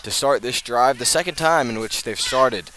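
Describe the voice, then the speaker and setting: An American man calling live high school football on the radio, measured between plays and emphatic on the big ones. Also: noisy background. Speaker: play-by-play announcer.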